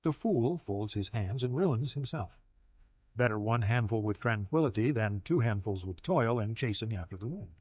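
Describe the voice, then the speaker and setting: A man narrating a dramatized scripture audiobook in a solemn, measured manner, with deliberate pauses. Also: on the low side, animated, a little mellow, dry recording. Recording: slightly dull audio with music behind. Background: music.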